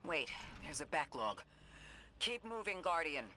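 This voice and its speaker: A precise robot companion. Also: male voice, fairly high pitch, very animated, pushed and bright, slight background noise, heavily acted. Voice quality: measured, clipped and precise. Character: calm analytical male robot companion. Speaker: companion guide character. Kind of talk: video game voice acting.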